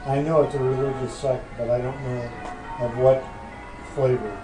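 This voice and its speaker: An older man answering questions casually as a podcast guest, with deadpan, hesitant replies. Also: medium pitch, moderate pace. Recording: echoey room with music behind.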